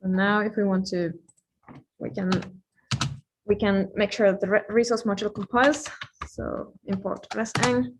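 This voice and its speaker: A woman who is a native Finnish speaker gives an informal live-coding lecture, thinking aloud with playful asides and pauses mid-thought. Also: mid-range voice, steady tone, background noise. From Finland.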